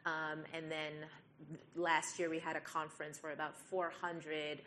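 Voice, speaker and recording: Woman, very low voice, investor, steady explanatory delivery, faint rumble. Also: a little slow. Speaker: venture capital investor, panelist. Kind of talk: live panel discussion.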